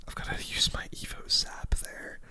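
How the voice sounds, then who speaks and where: Casting: ASMR gaming streamer, fully whispered delivery, soft and crisp with a sharp airy edge, casual rambling commentary up close. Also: moderate pace. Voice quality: soft, breathy unvoiced whisper. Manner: casual, laid-back rambling. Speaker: gaming video creator.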